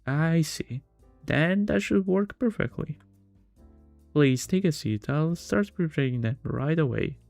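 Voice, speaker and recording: A man voicing a polite, composed male bartender in a visual novel, with a measured service manner. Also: on the high side, expressive, gravelly, a little mellow, no room sound, faint music behind, pitched above the performer's own voice, heavily acted. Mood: polite, attentive.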